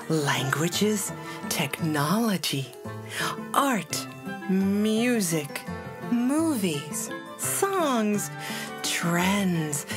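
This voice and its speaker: A woman playing a calm, doting mother in an audio drama, soothing and deliberate in manner. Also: on the low side. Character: calm, doting mother of a teenage monster girl. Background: music.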